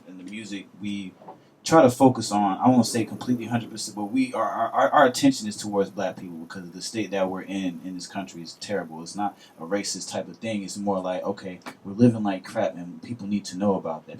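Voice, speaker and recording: A man's voice: low-pitched, slight background noise. A young man co-hosting a college radio talk show, earnest and repeating words as he works toward a point. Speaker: radio co-host. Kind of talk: casual radio discussion.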